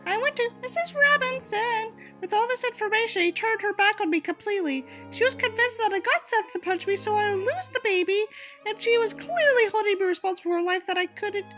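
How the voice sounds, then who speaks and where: Woman doing a sad teen girl from an audiobook, really high bright voice, plaintive and pleading, super earnest. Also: brisk, faint music behind. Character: wounded, pleading teenage girl confessing in a letter. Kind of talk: audiobook character reading.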